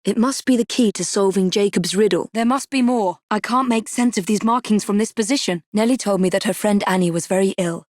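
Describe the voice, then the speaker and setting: A woman's voice: medium pitch, a little fast, few pauses, a little mellow, no room sound, studio quality, natural voice. An actress playing a composed young Victorian woman assassin with an English accent in a video game, wry, proper and determined. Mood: grave, intent. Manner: crisp, proper, understated.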